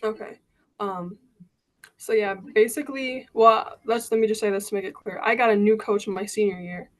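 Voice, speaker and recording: A young woman in her late teens, a high school basketball player, telling her story as a guest over a video call with a relaxed, filler-filled way of talking. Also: normal speed. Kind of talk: casual interview answers.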